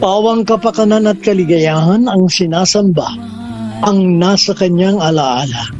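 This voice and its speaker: A man narrating a radio drama in a sentimental, measured, scripted poetic style. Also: high voice, darkish tone, lo-fi sound, soft background music.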